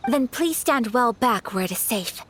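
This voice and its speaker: A woman's voice: fairly high pitch, animated, moderate pace. A woman voicing a refined, dutiful young noblewoman in a video game, speaking with formal, courteous diction. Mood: composed.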